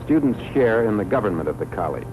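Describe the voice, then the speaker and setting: A man reads scripted 1950s educational film narration in a measured, formal way, on a vintage film soundtrack. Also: on the low side, sing-song, a little mellow, a little hiss or hum, low-quality recording. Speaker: documentary narrator.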